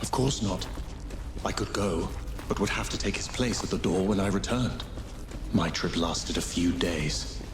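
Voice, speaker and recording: A deep-voiced, regretful death god. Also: male voice. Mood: somber confession. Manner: solemn, measured, confessional. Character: regretful, weary death god, solemn and proud. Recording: roomy echo, noisy game ambience.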